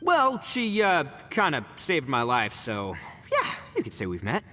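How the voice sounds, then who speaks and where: A man's voice: fairly high pitch, huge pitch swings, a little slow, sharp tone, soft background music, heavily acted. A man playing a brash, boisterous young gang boss in a video game, with a swaggering, slangy, theatrical delivery. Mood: boastful, casual. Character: brash boisterous young male gang boss, eager self-styled rescuer. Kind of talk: animated video game voice acting.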